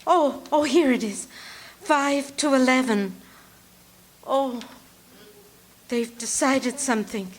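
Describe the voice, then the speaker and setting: A woman's voice: high voice, takes it slowly, pauses often. An actress playing a nervous, demanding, self-pitying bedridden woman in a 1940s-style thriller radio play, fretful and melodramatic. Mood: panicky. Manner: imperious, fretful, querulous, melodramatic. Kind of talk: live stage radio drama acting, 1940s thriller.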